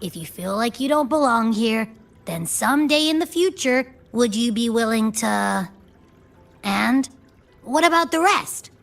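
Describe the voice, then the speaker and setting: An actress playing an earnest young woman in a game drama, asking things in a tentative, halting way. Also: fairly high pitch, lively intonation, gravelly. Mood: hesitant, hopeful.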